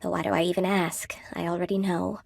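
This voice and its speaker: A woman's voice: on the low side, little pitch movement, a little fast, gravelly, a little mellow, dry recording, clean studio sound. An actress voicing a friendly, wry spider weaver woman in a video game, chatty and coy, with a folksy, lightly theatrical delivery. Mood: warm, confiding. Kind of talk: video game character dialogue. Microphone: close dry studio microphone.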